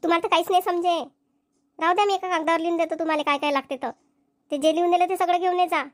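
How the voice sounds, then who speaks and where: A woman performs a village woman from a Marathi cartoon comedy with a notably high voice. She speaks in a rural Vidarbha accent and a colloquial, homely way. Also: fairly even intonation, very fast. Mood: nagging. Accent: Maharashtrian, rural Vidarbha Marathi. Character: young village women, mothers and nagging wives in a comedy.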